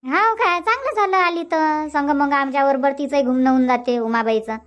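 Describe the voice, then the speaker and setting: A woman's voice: high-pitched, brisk, lightly acted. A woman voicing a chatty older village aunt in a Marathi cartoon comedy, scolding and motherly, with a Varhadi accent from Vidarbha. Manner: scolding, motherly, repeats herself.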